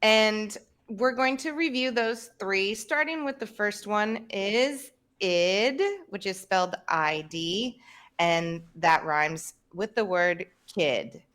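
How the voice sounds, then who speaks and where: A woman, hosting a podcast and explaining English pronunciation clearly over a video call. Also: mid-range voice, slow pace, a bit bright. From Tennessee, United States. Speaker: podcast host and English teacher. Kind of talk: casual teaching chat. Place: home room, indoors. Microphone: video call, webcam.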